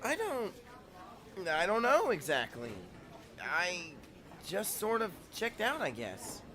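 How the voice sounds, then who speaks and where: A man's voice: sits high, wildly swooping pitch, takes it slowly, bright, forceful tone, slight background noise, heavily acted. A man playing a nervous teenage boy who stammers and gets flustered, in a fan-dubbed motion comic.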